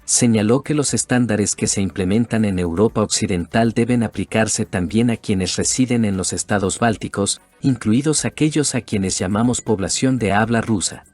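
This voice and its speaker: A man reading a scripted documentary narration in a measured way. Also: fairly low pitch, darkish tone, soft background music.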